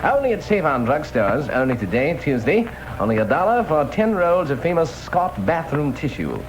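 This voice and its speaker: A man's voice: fairly high pitch, big pitch swings, normal speed, slight background noise, low-quality recording. A male disc jockey delivering folksy, joking Top 40 patter on a vintage radio broadcast. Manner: wisecracking, folksy, joking asides. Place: radio studio.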